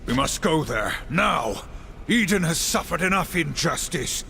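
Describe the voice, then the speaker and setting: A man's voice: on the high side, very animated, unhurried, vocal fry, sharp tone, slight background noise, exaggerated voice. An actor playing a wrathful, duty-bound horseman of the apocalypse in a game, stern, solemn and terse. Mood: outraged. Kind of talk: video game cutscene acting.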